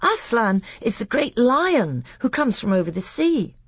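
A woman's voice: on the high side, sing-song, unhurried, husky, no room sound, studio quality, voice pushed up, full character voice. A woman voicing a very young, innocent boy prince in a storybook, with an artless, childlike way of talking. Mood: eager, excited. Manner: artless, breathless childlike babbling. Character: very little boy prince, innocent, eager, dreamy. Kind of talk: acted storybook dialogue.

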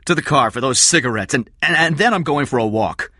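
A man's voice: medium pitch, lively intonation, brisk, sharp tone, dry recording, studio quality, voice pushed up. A man voicing a desperate small-time criminal who pleads and stammers defensively. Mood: agitated.

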